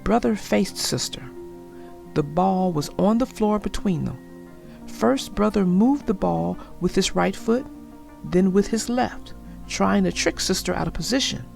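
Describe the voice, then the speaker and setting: A woman narrating a children's storybook aloud in a deliberate, expressive way. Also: medium pitch, gravelly, soft background music.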